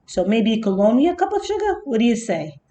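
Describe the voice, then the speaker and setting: A woman's voice: a little high, very animated, quick pace, dark tone. A woman voicing a self-justifying, slyly innocent male wolf who tells his side of a children's story with chatty, dramatic indignation. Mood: aggrieved, pleading. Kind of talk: first-person children's storybook narration.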